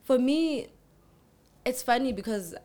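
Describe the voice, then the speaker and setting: A woman in casual chat, animated and talkative, acting out her own thoughts with sudden jumps in voice. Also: high-pitched, slow pace.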